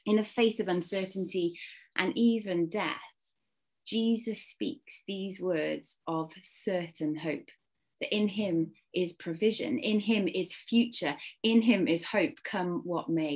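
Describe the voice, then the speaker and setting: A woman from Wales with a slight Welsh accent, giving an earnest, expressive Easter talk to a church congregation. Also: on the low side, takes it slowly. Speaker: church speaker.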